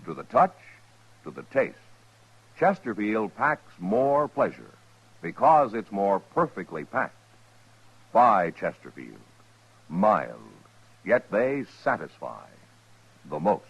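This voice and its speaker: An American man with a husky voice reading a 1950s radio commercial as announcer. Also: fairly low pitch, big pitch swings, slow, pauses often. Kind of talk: 1950s radio advertising read. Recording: dull old radio recording.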